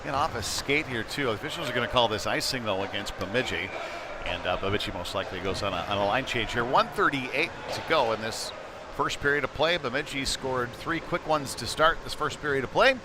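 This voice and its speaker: A man's voice: mid-range voice, expressive, a little fast. Man calling hockey over arena noise. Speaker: ice hockey play-by-play commentator. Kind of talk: live sports commentary. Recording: noisy live rink broadcast.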